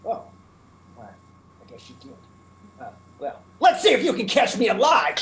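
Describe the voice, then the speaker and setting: A woman's voice: mid-range voice, huge pitch swings, normal speed. A woman voicing a cocky male pickpocket, a wisecracking gangster type who turns sheepish, in a comedic radio play. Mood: sheepish bluster. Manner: streetwise gangster patter. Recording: roomy echo with faint noise.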